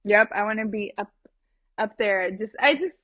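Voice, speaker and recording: A woman's voice: mid-range voice, normal speed, a bit bright, no room sound, highs cut off. A young woman from California with a Californian accent, a guest chef talking in a friendly, enthusiastic way, recorded on her phone. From San Fernando Valley, California. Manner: friendly, enthusiastic, says "like" and "you know" a lot. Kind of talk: casual chat.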